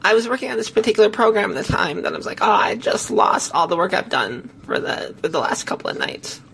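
A woman podcast host with a hoarse, failing voice tells a tech story casually, in a halting, self-correcting way. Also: mid-range voice, big pitch swings, a bit bright, a little hiss or hum. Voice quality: hoarse, gravelly. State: hoarse, losing voice.

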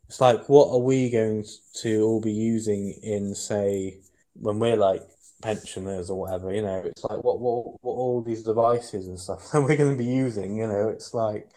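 Male podcast co-host, low-ish voice, rambling, stop-start delivery. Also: fairly even intonation, warm tone. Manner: halting, many restarts and fillers. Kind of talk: rambling casual chat. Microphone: headset.

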